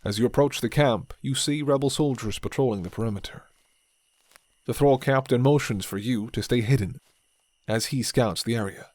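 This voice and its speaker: A man narrating a dark fantasy ASMR roleplay in calm second-person storybook style. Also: medium pitch, moderate pace, dry recording.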